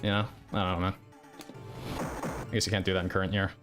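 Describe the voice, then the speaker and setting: A man with a fairly low voice talks casually as a livestreamer. He rambles in a hesitant, blunt manner and swears freely, with music clearly playing behind him. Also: flat delivery, fast talker, a bit bright. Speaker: streamer reacting to another player's stream. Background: music, another streamer's gameplay.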